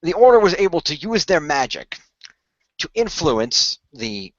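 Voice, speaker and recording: A man's voice: a little high, sing-song, no room sound, highs cut off, pitched above the performer's own voice. Man playing a formal, earnest keeper of secrets. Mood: solemn storytelling. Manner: formal, measured, portentous storytelling. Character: Noah, courteous, earnest male keeper of a magical secret.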